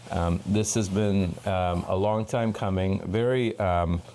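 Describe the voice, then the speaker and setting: A man from Sri Lanka hosting a podcast interview, giving measured, earnest introductions. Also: sits low, steady tone, warm tone. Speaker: podcast host.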